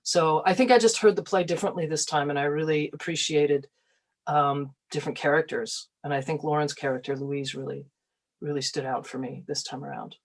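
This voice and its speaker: An actor reflecting casually in an interview, with halting, thoughtful phrasing. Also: mid-range voice.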